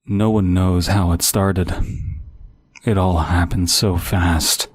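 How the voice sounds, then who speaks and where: A man reading a horror story as scripted narration, slow and grave. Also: low voice, fairly even intonation, no echo, studio quality. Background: music.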